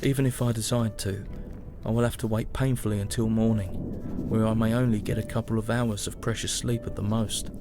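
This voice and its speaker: Man acting a low, weary, grieving young soldier. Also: steady tone, close to an everyday voice. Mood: bleak, weary. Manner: solemn, measured, mournful. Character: weary, grieving young British soldier writing a war journal. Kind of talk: dramatic first-person journal narration. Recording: music behind the voice. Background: music.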